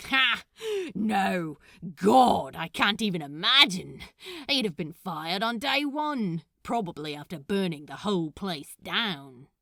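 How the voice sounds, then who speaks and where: A woman voicing a blunt, sardonic but soft-hearted man in casual, exclamatory fan fiction dialogue. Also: mid-range voice, lively intonation, slow pace, vocal fry, dry recording, studio quality, heavily acted. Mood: incredulous amusement.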